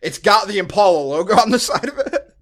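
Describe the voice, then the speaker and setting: Higher-voiced American man chatting casually. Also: sing-song, a little fast, a bit bright. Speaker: podcast co-host. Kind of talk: casual chat, movie review.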